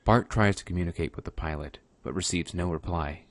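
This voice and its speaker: A man's voice: sits low, a little fast, dry recording. A man giving scripted dramatic narration for a radio play. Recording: clearly noisy background ambience.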